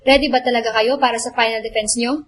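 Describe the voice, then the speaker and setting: Babaeng gumaganap na istriktang guro, mataas ang boses. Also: female voice, on the high side, steady tone, quick pace, lightly acted. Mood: scolding. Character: exasperated, stern female thesis defense panelist. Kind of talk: radio drama acting. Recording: clear background noise with clicks.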